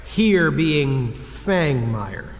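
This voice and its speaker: A man's voice: fairly high pitch, sing-song, extremely slow, full character voice. A man playing an insecure young slacker soldier in an audio drama, wry and hesitant, sometimes tripping over his words. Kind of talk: audio drama character acting. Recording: long reverb, faint background noise.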